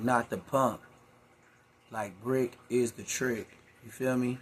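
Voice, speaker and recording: A man's voice: mid-range voice, a bit bright. A man hosting a reaction video, talking in laid-back slangy street talk. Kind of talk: casual commentary.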